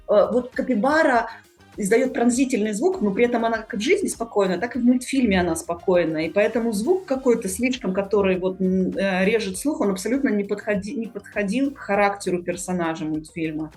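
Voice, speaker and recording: A woman's voice: medium pitch, a little mellow. A woman hosting a podcast, talking casually with her co-hosts. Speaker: podcast host. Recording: music in the background. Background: music.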